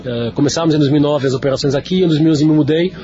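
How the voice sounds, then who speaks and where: A man's voice: fairly high pitch, very fast, dull audio, crowd noise. A man from Portugal with a Lisbon accent, answering radio interview questions as a business executive, talkative and full of fillers and restarts.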